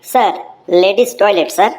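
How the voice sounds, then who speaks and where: A woman's voice: medium pitch, a little fast, heavy background noise, natural voice. A woman voicing cheeky, smart-mouthed young pupils answering a teacher with mock respect in a comic cartoon. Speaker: cartoon voice actor. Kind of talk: comic Bengali cartoon dialogue.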